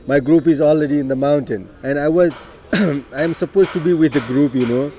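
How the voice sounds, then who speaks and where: A middle-aged man with a Nepali accent, telling his life story in an unhurried way, with frequent "you know" and throat clearing. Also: on the high side, mellow. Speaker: interviewee. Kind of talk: personal life story told in conversation.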